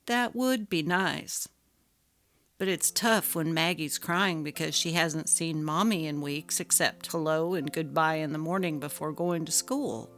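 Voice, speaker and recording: A woman's voice: sits low, unhurried. A woman voicing a patient, neglected husband who pleads earnestly in a dramatic story reading. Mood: hurt, reproachful. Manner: earnest, gently reproachful. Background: faint music.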